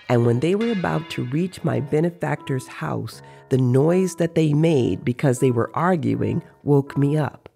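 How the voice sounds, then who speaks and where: A man reading the part of an aging sorcerer who recounts his dream journeys in a measured, reflective way in an audio story. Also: a little high, vocal fry, dark tone, exaggerated voice.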